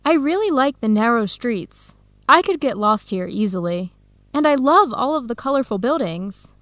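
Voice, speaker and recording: A woman's voice: fairly high pitch, very animated, moderate pace, fairly bright tone, dry recording, studio quality. A woman playing a friendly young woman in scripted dialogue for an educational radio drama. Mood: delighted.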